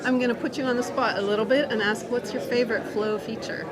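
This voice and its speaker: Woman interviewer, brisk and encouraging, a little high, loud conference crowd. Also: steady tone. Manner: brief, encouraging replies. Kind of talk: short interview questions. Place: busy conference hall.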